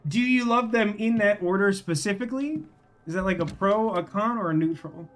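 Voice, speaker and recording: A man's voice: sits high, normal speed, fairly bright tone. A man doing casual livestream game commentary, thinking aloud in a wry, rambling way as he chats with viewers.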